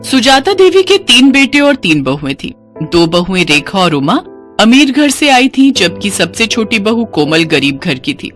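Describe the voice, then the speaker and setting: A woman's voice: medium pitch, big pitch swings, unhurried, fairly bright tone. Female narrator for moral tales, mid-range voice, scripted storytelling over a music bed.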